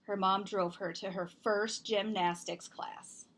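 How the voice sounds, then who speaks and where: A woman reading a children's picture book aloud, expressively and deliberately, with emphasized words. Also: medium pitch, fairly even intonation, fairly bright tone. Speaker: storybook reader and host.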